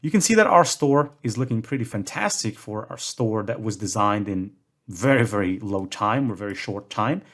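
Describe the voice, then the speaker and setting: A man's voice: fairly low pitch, animated, moderate pace. A young man from Morocco hosting a screen-recorded tutorial, talking in a casual way with self-deprecating jokes. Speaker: tutorial host.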